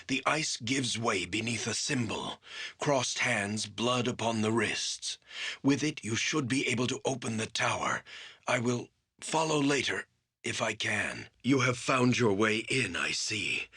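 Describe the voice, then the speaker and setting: An actor playing a grave, wounded priest of a war god in a fantasy video game, husky and strained, speaking solemnly with halting emphasis. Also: male voice, fairly low pitch, pushed and bright, heavily acted.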